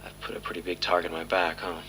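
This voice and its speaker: An actor playing a weary, brooding police detective hesitantly recording confessional case tapes in an audio drama, on a noisy tape with hum. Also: male voice, low-pitched, monotone, fast talker. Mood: grim, weary.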